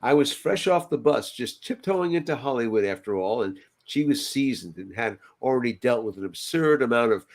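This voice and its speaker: An older man co-hosts a casual podcast from home. His mid-pitched voice is animated and moves around a lot, whether reading aloud or commenting. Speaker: podcast co-host. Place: home room, on a video call. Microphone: headset.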